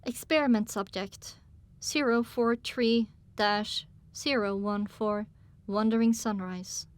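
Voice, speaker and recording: A woman's voice: medium pitch, fairly even intonation, slow, clean tone. A woman narrating a story in the first person in a dramatic audiobook style.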